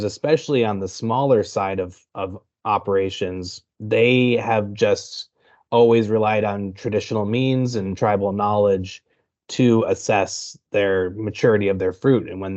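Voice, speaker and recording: A man's voice: on the low side, a little mellow. A man hosting a company interview, introducing a guest and asking questions in a friendly way with many fillers. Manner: friendly, filler-heavy phrasing. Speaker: host interviewer. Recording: dull lo-fi call audio.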